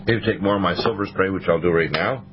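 A hoarse man hosting a talk radio show, reading a poem aloud and stopping often to restart his sentences. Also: low voice, fast, background noise. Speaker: host. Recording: dull lo-fi radio broadcast.

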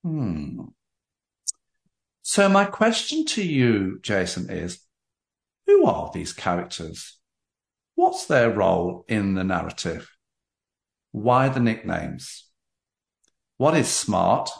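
A man hosting a book interview show, speaking in an effusive, theatrical and deliberately phrased way. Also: fairly low pitch, wildly swooping pitch, gravelly. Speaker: podcast host interviewing an author. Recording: roomy, echoing sound.

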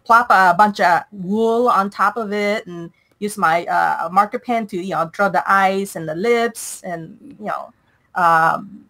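Woman from Malaysia, interview guest, hesitant, laughs often, mid-range pitch. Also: measured pace, pushed and bright. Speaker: guest artist. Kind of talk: casual interview answers.

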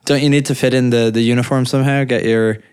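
A man with an Irish accent, a startup founder joking and telling stories in a relaxed, casual chat. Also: medium pitch, clean tone. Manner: relaxed, joking, drawn-out storytelling.

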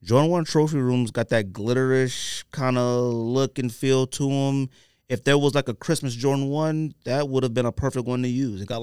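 A man's voice: medium pitch, darkish tone, clean tone, no echo. Man, podcast host, mid-range voice, slangy, opinionated, casual chat, dry close sound.

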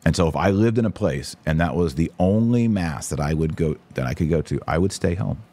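Male podcast host, low register, informal and opinionated, casual livestream delivery. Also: animated, brisk, dark tone. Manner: informal, opinionated, emphatic, slangy. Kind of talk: casual livestream commentary, answering viewer comments.

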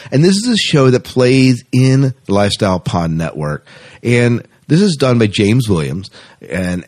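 A man's voice: medium pitch, a little mellow. A man co-hosting a podcast, talking in an informal, rambling, conversational way. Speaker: podcast co-host. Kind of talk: casual podcast chat.

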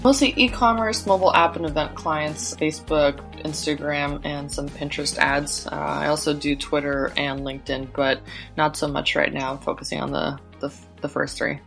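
30s north american woman, bright forward voice, chatting casually as a podcast guest. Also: low voice, steady tone, normal speed, creaky, faint music behind. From born in Lithuania, grew up in Canada and the United States. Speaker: podcast guest, digital advertising professional. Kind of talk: casual interview chat.

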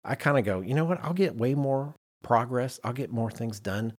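A man's voice: medium pitch, animated, moderate pace. A middle-aged man hosting a podcast in casual discussion, acting out little imagined quotes as he explains. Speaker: podcast host.

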